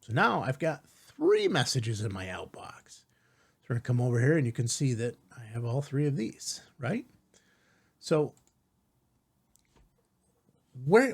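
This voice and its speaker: Casual male tutorial host, slightly husky. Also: a little high. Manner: informal, reassuring asides with rhetorical check-ins. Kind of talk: casual step-by-step software tutorial.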